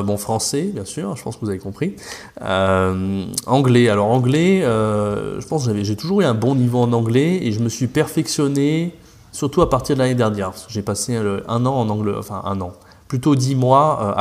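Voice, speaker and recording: A French man, a language teacher, talking casually as a podcast guest, rambling with many fillers and restarts. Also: on the low side.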